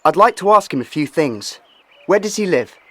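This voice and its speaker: An actor playing an earnest, worried, well-spoken young brother in a children's audio drama. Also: male voice, on the high side, sing-song, normal speed, bright, forceful tone, slight background noise.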